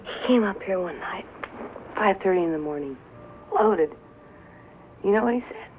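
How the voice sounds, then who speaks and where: An actress playing a tough, bitter young nightclub singer who drinks, with an American accent and a sardonic, drawling delivery, in a 1950s film drama. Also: female voice, mid-range voice, animated, moderate pace, natural voice. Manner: sardonic, drawling, dwelling on and repeating words. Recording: tinny, hissy 1950s film soundtrack.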